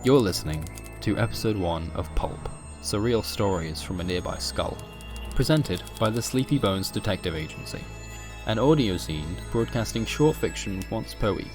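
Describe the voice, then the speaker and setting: A man narrates a scripted horror story as a podcast host, in measured, literary storytelling. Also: on the low side, expressive. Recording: music bed under the voice. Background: music.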